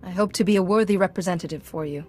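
An actress playing a calm, principled young Jedi in a video game, measured and earnest. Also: female voice, fairly low pitch, natural voice. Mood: firm, measured. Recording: music playing behind the voice.

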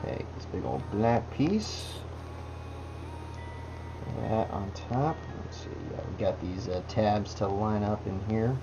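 A man's voice: on the low side, takes it slowly, dull audio, noisy background. A man casually walking through a model kit build at his workbench, talking to the parts as he goes. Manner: relaxed, talks to the parts as he works. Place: indoor workbench. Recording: music bed under voice. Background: background music.

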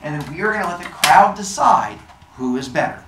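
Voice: mid-range voice, lively intonation, a little fast, lightly acted. A storyteller voicing a brash, hot-tempered, easily flustered goddess who talks in a casual, blustering way. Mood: bossy, confident. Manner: casual, blustering, awkwardly apologetic. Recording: roomy, distant mic, faint noise.

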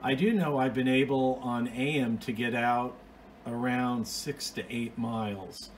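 A man's voice: mid-range voice, fairly even intonation, a little slow, slight background noise. A man giving an informal, hands-on explanation as a hobbyist video host, plain-spoken and methodical, recorded on a phone at home. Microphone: phone, off camera.

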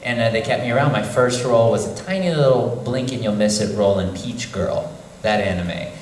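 A man's voice: lowish voice, brisk, a little hiss or hum. A man joking his way through his career story at a convention panel. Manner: joking, playful. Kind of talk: casual panel talk. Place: large convention hall. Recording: echoey hall, voice from far.

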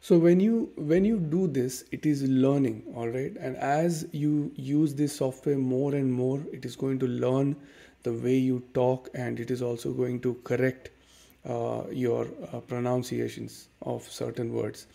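A man with an Indian accent talks through a screen tutorial at an easy pace, padding his steps with "okay" and "uh". His voice is mellow and dark with a gravelly edge. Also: mid-range voice, unhurried. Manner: relaxed, many fillers and "okay"s, explains step by step. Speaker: tutorial host. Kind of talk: casual screen-recorded software tutorial. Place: dim room at home.

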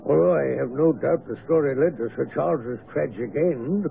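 An actor playing an affable, eccentric naturalist neighbour with a sinister edge, chatty and courteous, in a radio drama. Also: male voice, a little high, moderate pace, gravelly. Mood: genial, probing. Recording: noisy phone-like vintage radio broadcast.

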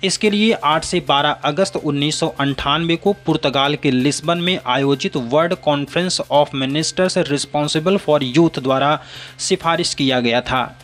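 A man presenting a scripted news bulletin in a formal, measured way. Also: fairly high pitch, fairly bright tone. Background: background music.

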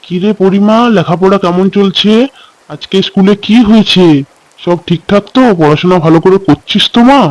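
A man playing hearty village dad, slightly high voice. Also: moderate pace, dry recording, close to an everyday voice, low-quality recording. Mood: cheerful, doting. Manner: exclaiming, chatty, emphatic repetition. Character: doting, hearty village father who loves food. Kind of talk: Bengali cartoon story voice acting.

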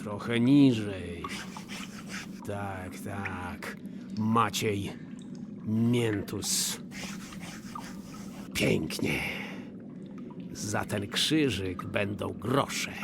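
A slow, wheedling, scheming notary. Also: male voice, lowish voice, creaky, background noise, heavily acted. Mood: calculating. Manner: slow, wheedling, insistent, falsely sweet. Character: scheming, falsely meek, miserly old notary. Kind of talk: theatrical radio play acting in verse.

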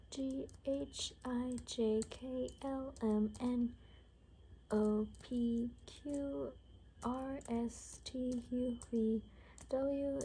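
A young woman speaking casually in a hushed, half-whispered ASMR roleplay style, close to the mic in a room. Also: a little high, rough recording. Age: young adult. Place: small furnished room indoors. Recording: noticeable background noise.

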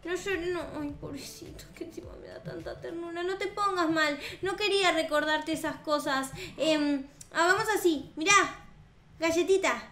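A young woman with a Rioplatense Spanish accent, hosting a gaming video and improvising roleplay in an expressive, sweet, childlike way. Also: extremely high pitch, moderate pace. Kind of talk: improvised in-character gameplay roleplay. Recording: roomy echo, music behind. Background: background music.

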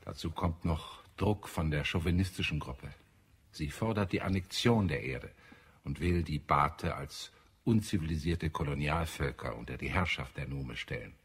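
Ein Schauspieler spielt in einem deutschen Hörspiel einen ernsten, idealistischen Marsianer, der getragen und deklamierend spricht. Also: male voice, a bass voice, moderate pace, slightly rough, full character voice. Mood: grave, reporting. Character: earnest, idealistic Martian man, visionary and grave. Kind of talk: German radio play acting.

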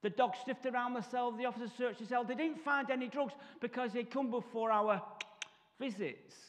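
High-voiced Mancunian man telling his story. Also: moderate pace. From Salford, Manchester. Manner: storytelling with comic asides and acted-out voices. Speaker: guest speaker. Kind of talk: testimony talk at a church service. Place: large church hall, stage. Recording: reverberant church hall.

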